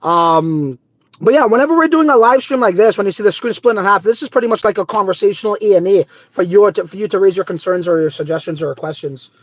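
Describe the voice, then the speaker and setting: A man from Trinidad, hosting a casual crypto livestream on his phone, excitable and swearing freely, peppered with "bro" and "dude". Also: sits high, quick pace, no echo. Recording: slightly dull phone audio.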